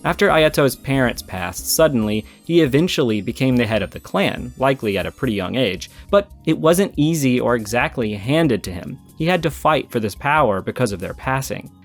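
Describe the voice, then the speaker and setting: A man's voice: mid-range voice, expressive, moderate pace, few pauses. A man narrating a scripted video essay as a game story analyst, with casual, irreverent, profane asides and a jokey manner. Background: background music.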